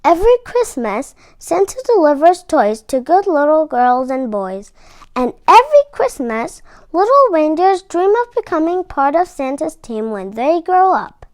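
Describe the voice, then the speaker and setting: A woman reading a children's story aloud with expressive, deliberate delivery and dramatic emphasis. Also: high-pitched, dry recording.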